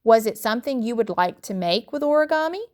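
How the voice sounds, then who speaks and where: A woman with a fairly high voice reads aloud in the style of a classroom lesson. Her delivery is instructional and deliberate, phrase by phrase, as a teacher guiding students along a text. She occasionally trips on a word and corrects it. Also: expressive, a little mellow. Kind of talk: read-aloud lesson narration.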